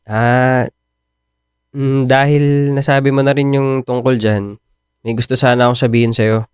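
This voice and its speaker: Man acting a smooth-voiced, reserved Filipino boyfriend. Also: medium pitch, flat delivery, measured pace, no room sound, clean studio sound, natural voice. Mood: serious, hesitant. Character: reserved, aloof young Filipino boyfriend, mildly grateful. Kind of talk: Tagalog radio drama acting.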